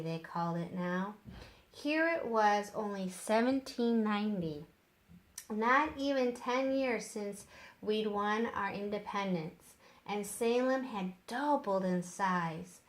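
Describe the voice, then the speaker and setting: Woman doing story time for kids, highish voice, reads really slow and deliberate. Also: roomy sound. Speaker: storyteller reading to children. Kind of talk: read-aloud narration of a children's book.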